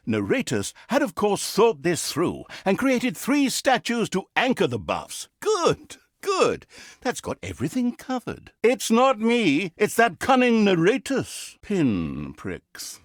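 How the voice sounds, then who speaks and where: An actor playing a smug, petty narrator of a comic fantasy video game, pompous and sardonic, breaking the fourth wall in hammy storytelling. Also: male voice, sits high, wildly swooping pitch, measured pace, dry recording, studio quality, exaggerated voice. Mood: grandly self-satisfied, then gleeful, sly.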